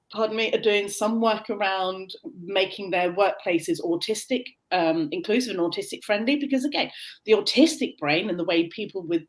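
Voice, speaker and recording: British woman, mid-pitch, earnest explainer, conversational, video-call sound. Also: poor audio. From Britain. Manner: earnest, explanatory, frequent restarts. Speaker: guest, neurodiversity coach. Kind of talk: conversational interview answers.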